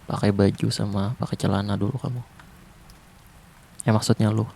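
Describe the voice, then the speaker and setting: A young man doing a soft, intimate, teasing ASMR boyfriend roleplay. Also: fairly low pitch, flat delivery, moderate pace, gravelly, slight background noise.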